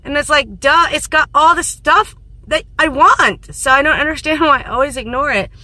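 A woman in her thirties with a hoarse, fading voice, chatting casually and rambling in a vlog from a parked car into a phone held at arm's length. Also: high voice, moderate pace, pushed and bright, no echo. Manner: rambling, self-mocking, laughs often. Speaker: vlogger.

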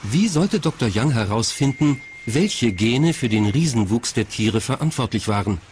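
A man's voice: mid-range voice, animated, normal speed, darkish tone, a little hiss or hum. A man reading scripted narration as the narrator of a documentary. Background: faint music.

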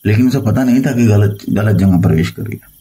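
A man's voice: fairly low pitch, brisk, mellow, breathy edge. A man from India speaking as a tantric guru on a phone call, boasting and reassuring with authority. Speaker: tantric guru advising a caller. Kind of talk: phone consultation, boastful storytelling.

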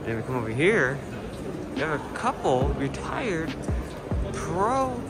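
A man's voice: fairly high pitch, sing-song, unhurried. A man filming himself as he walks a large indoor car show, giving casual, enthusiastic commentary on the builds with light swearing. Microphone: handheld camera, filming point of view. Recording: loud music behind, busy hall.

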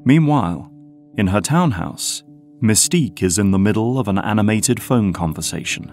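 Narrator, male: fairly deep voice, scripted dramatic scene-setting over background music. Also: expressive, normal speed, darkish tone.

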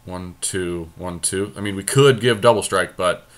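A man's voice: low voice, unhurried. A man giving casual gameplay commentary, thinking aloud in informal slang as he co-hosts. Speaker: podcast co-host playing a card game.

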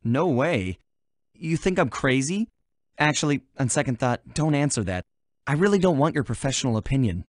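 A man's voice: mid-range voice, lively intonation, normal speed, no echo, close to an everyday voice. Man as a cocky thief hero, dry recording. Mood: defiant, wry. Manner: breezy, wry. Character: cocky, wisecracking young gentleman thief animal hero. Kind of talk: video game voice acting.

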